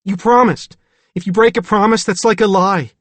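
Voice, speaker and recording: A frightened little boy, as a male audiobook reader puts him on, pleads in a high, urgent voice. His words come quickly and sharply. The recording is dry and close. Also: steady tone, no room sound, close to an everyday voice. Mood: upset pleading.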